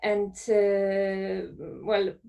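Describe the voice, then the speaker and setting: A woman's voice: mid-range voice, flat delivery, drawn-out words, creaky, dark tone. A young woman from Poland, a student speaking as a panelist in an online discussion, thoughtful, with many fillers. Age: young adult. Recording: distant from the mic.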